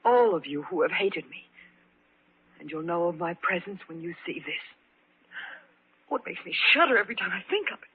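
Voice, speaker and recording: A woman's voice: lowish voice, animated, brisk, pauses often, slight background noise. An actress playing a frightened, nervous woman in an old-time radio horror play, with breathless, theatrical dread. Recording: muffled old radio recording.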